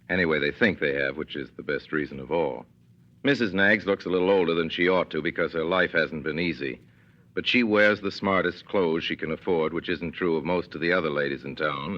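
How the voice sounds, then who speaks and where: A man's voice: a bass voice, bright, forceful tone. A man narrating a scripted 1940s radio drama in a wry, conversational, literate storytelling manner. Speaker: narrator and host. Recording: muffled 1940s radio broadcast recording.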